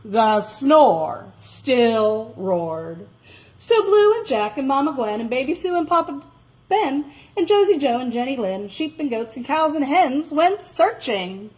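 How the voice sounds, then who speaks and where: A woman tells a children's story in a slightly high, very animated voice. She takes her time overall and leans into dramatic emphasis, stretching out important words. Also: rough recording. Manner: dramatic, expressive, with drawn-out emphasis. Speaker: storyteller reading a children's picture book.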